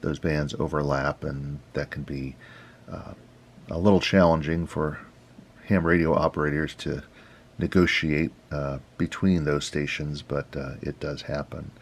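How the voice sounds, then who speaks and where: A man hosting an informal tutorial, explaining things step by step in an unhurried, halting way. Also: extremely low pitch, steady tone. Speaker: host, radio hobby instructor.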